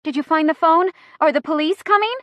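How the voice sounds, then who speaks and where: A harried young woman at the wheel, acted by a female narrator in an audiobook. She speaks in a raised pitch, urgent, defensive and exasperated, with a dry, close sound. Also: fairly quick, no echo. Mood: urgent, worried.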